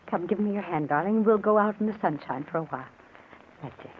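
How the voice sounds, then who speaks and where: A woman playing a gentle, anxious wife who speaks in endearments, in an old-time radio drama. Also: mid-range voice, quick pace, natural voice. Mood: soothing. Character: soothing, anxious wife of a disturbed man. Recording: tinny, noisy old radio recording.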